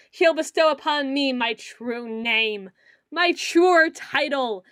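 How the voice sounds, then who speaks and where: A woman playing a melodramatic self-styled female dark lord in an ASMR roleplay, pompous and theatrical, grandiose yet a little awkward. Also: high-pitched, no room sound, studio quality, exaggerated voice. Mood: imperious, scheming. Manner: pompous, theatrical, mock-archaic phrasing with awkward hedging.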